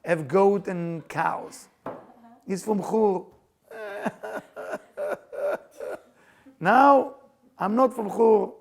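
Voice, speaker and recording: A middle-aged Israeli man telling stories in a playful, chatty way, his voice pitched high and full of gravel. His speech leaps up and down in pitch with every turn of a story, and laughter keeps slipping into his lines. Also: lively intonation, extremely slow, long pauses. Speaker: spiritual teacher and podcast host. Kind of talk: casual storytelling chat.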